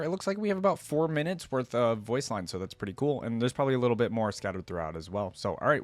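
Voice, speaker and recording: A man's voice: medium pitch, dry recording. A man hosting a reaction video, reading aloud and musing casually with interjections.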